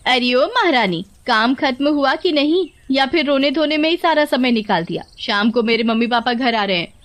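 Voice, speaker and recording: Lady doing a sly bossy sister-in-law, high sugary voice, cartoon villain type. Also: lively intonation, normal speed, a bit bright. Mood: mocking. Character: cunning, sly young sister-in-law, two-faced and bossy. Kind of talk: Hindi cartoon story voice acting.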